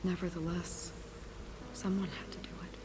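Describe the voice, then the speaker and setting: A woman voicing a solemn, wistful video game warrior burdened by memory, speaking softly and gravely with a breathy, measured delivery. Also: fairly low pitch, little pitch movement, whispered, close to an everyday voice. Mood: wistful, resolute.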